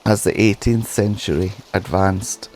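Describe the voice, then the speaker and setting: A man with a sore, hoarse throat narrating a history documentary in a solemn, measured way. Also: lowish voice, fairly even intonation, darkish tone, poor audio. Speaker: history channel host.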